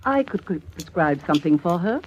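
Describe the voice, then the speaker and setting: Blunt, animated older aunt. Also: female voice, on the low side, fairly quick, lo-fi sound, slight background noise. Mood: indignant, insistent. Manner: blunt, emphatic. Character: blunt, meddling older aunt, fiercely protective. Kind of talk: 1940s radio drama acting.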